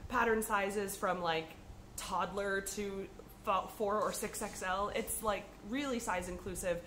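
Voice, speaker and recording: A woman's voice: medium pitch, steady tone, a little slow, a bit bright, a little hiss or hum, low-quality recording. A woman hosting a podcast, chatting casually to camera in an upbeat, rambling way, slightly sniffly from a cold. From United States. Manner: chatty and upbeat with frequent ums. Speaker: podcast host.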